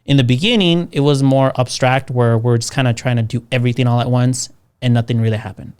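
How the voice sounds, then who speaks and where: Smooth-voiced man in his thirties. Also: mid-range voice, fairly even intonation, fast, a little mellow. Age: 30s. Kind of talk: casual podcast conversation.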